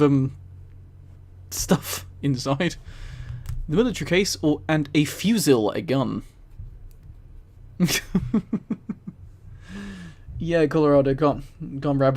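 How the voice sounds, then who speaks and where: A man casually reading aloud on a livestream and commenting, easily amused and giggly. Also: fairly high pitch. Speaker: streamer.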